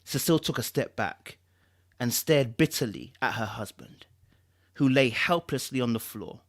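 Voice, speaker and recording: A man with a London accent hosting a live stream, moving between casual chat and expressive reading from a book. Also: mid-range voice, moderate pace. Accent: British, London. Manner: informal, repetitive, checks in with listeners.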